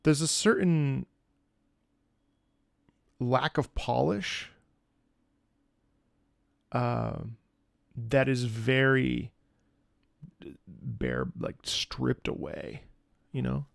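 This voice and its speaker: A male streamer chats casually as he reacts to a video game. His voice has plenty of fry and sits very dry and close. He is playful and swears freely. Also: medium pitch, sing-song, drawn-out words, long pauses, no echo. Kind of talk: casual live stream commentary.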